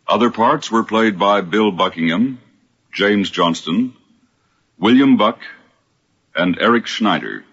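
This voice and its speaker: A man's voice: on the low side, animated, slow pace, pauses often, a bit bright, slightly rough. A man working as a radio announcer, formally reading out programme credits. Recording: dull radio recording.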